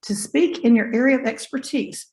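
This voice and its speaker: A hoarse woman with a slightly high voice teaches an online class over a video-call mic, folksy and encouraging. She keeps asking her students questions. The sound is roomy and echoey. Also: normal speed, darkish tone. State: hoarse from bronchitis. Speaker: online business instructor. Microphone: computer mic on a video call.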